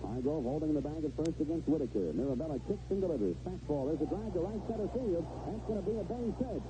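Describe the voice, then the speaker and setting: A man's voice: fairly high pitch, expressive, fast talker. An American man calling baseball play-by-play on the radio, mixing clipped phrases with conversational asides. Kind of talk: live radio play-by-play commentary. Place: broadcast position close to the field at a ballpark. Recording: vintage 1970s radio broadcast, muffled and noisy.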